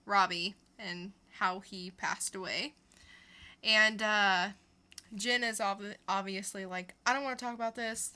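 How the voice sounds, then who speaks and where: A woman with a very bright, forward voice and an unhurried pace. She co-hosts a podcast and recaps stories in casual, informal review chat. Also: mid-range voice, fairly even intonation. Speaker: podcast co-host.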